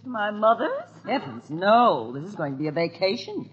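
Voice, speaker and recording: A sarcastic neighbor wife played by a woman in an old radio sitcom, lively in intonation. She is tart, put-upon and dryly emphatic, on a muffled, faintly hissy vintage broadcast. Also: medium pitch, normal speed, close to an everyday voice. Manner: dry, emphatic. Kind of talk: 1950s radio sitcom acting.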